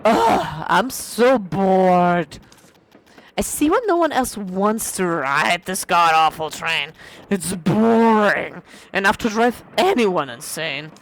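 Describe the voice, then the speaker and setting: A hoarse woman voicing a cheeky, boastful young female witch student. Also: mid-range voice, slow. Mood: whiny bored. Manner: bratty, boastful, raised excited delivery.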